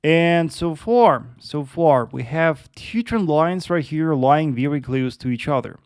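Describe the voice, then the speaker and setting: A man's voice: on the high side, lively intonation, a little slow, darkish tone, clear, resonant tone, no room sound, clean studio sound. Russian-accented man hosting a trading livestream. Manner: patient, repetitive, lots of uh and yes. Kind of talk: live-streamed market analysis with chat Q&A.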